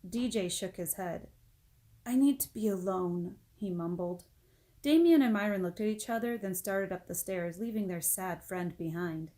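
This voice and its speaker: A woman reading a children's book aloud as an expressive storyteller. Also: mid-range voice, normal speed. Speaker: storybook reader.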